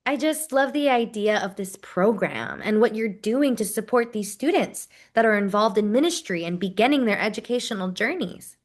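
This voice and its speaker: A woman's voice: mid-range voice, animated, moderate pace, few pauses, natural voice. A woman playing a friendly, grateful college program associate, chatting enthusiastically in a first-person stage narrative. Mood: earnest, passionate. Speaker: performer portraying a university program associate. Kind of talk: dramatized first-person interview narrative, conversational.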